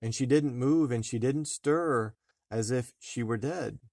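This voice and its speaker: Slow-paced man reading a fairy tale. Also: mid-range voice, vocal fry, a little mellow, no room sound, clean studio sound. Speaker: storybook reader, a father. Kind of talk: read-aloud fairy-tale narration.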